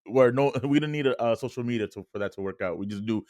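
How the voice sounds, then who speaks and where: A slangy, joking man with a New York accent co-hosts a casual sports podcast. His voice sits at an average pitch. It is recorded dry, with very little room sound. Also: fast talker, a little mellow, no room sound. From New York City. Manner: slangy, jokey, peppered with "bro" and "yo". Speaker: podcast co-host.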